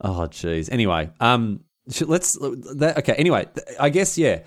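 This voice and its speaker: A man's voice: mid-range voice, big pitch swings, normal speed. An Australian man hosting a podcast, telling stories in casual comic chat and repeating phrases for emphasis. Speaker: podcast host.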